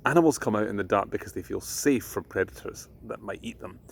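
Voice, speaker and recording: A man reads as a gentle storybook mother. The voice is creaky and soft, explaining things patiently and knowledgeably. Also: fairly low pitch, brisk.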